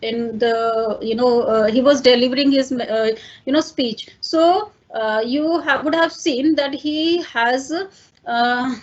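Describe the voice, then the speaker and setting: High-voiced Indian woman teaching a lecture. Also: slow, muffled recording. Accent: Indian English. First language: Hindi. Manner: explains step by step with many fillers and "fine" check-ins. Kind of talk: online lecture.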